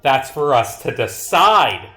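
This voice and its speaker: A man's voice: mid-range voice, big pitch swings, slow pace, sharp tone, echoey room, faint music behind, heavily acted. A man voicing an insistent detective from a visual novel, questioning a suspect in a pressing, accusatory manner. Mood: firm.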